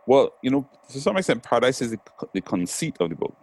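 A man's voice: medium pitch, very animated, quick pace, a little mellow, breathy edge, no room sound. A middle-aged man from Trinidad with a Trinidadian accent, a poet talking thoughtfully in an interview and often circling back on his phrases. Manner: thoughtful, circling back on phrases, frequent "you know what I'm saying". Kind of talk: reflective conversational interview.

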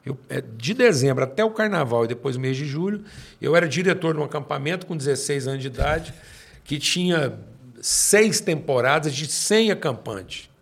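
An older man with a Brazilian accent, speaking a little higher than most men, with a gravelly, husky voice. He is a pastor recounting his life in an unhurried talk, and he leans hard on key phrases, drawing them out for emphasis. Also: expressive. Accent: Brazilian Portuguese. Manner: emphatic, slows down to stress points. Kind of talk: personal storytelling in conversation.

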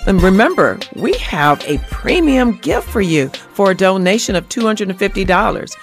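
A woman working as a radio news reporter and host, delivering reports and fund-drive pitches with emphatic, drawn-out phrasing. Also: very animated, music in the background.

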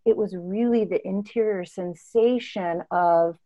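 A woman in her fifties from East Africa, an interview guest telling reflective personal stories in an expressive, emphatic way. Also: medium pitch, measured pace, muffled recording. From born and raised in East Africa.

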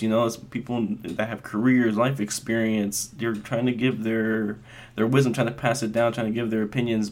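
A man's voice: mid-range voice, darkish tone. Earnest young man hosting a podcast. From Las Vegas, US. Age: 20s. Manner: earnest, rambling, many fillers and restarts. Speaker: podcast host. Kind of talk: casual solo podcast monologue.

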